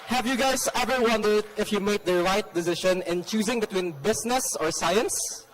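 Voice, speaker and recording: A teenage boy co-hosts a school talk show in an upbeat, rehearsed way. His voice sits very high, and he adds fillers when he moves to open questions. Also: moderate pace, slight background noise, poor audio. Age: teens. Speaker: student host. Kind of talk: scripted show hosting. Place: school hall.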